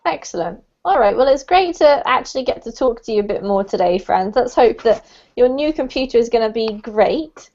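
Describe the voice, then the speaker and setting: A woman's voice: a little high, expressive, moderate pace, a little mellow. A woman teaching an online English class, chatty and friendly, with frequent ums, over a video call. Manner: chatty, many ums, laughs often. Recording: dull lo-fi video call audio.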